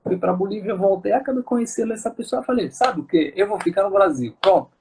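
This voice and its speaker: Man, Moroccan accent, gravelly, halting speech with restarts, interview guest. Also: sits high, animated, a little mellow, a little hiss or hum. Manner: hesitant, many fillers and restarts. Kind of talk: casual interview talk.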